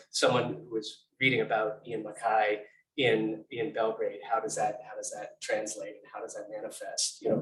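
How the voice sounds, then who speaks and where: A man's voice: on the low side, fairly bright tone. A man speaking as a musician on a panel, loose and rambling with many fillers. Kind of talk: panel discussion. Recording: echoey room, far from mic.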